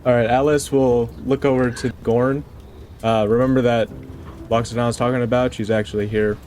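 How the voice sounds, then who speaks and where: A man's voice: mid-range voice, level tone, normal speed, a little mellow, a little hiss or hum. A man playing a tabletop game, speaking casually as he roleplays his character.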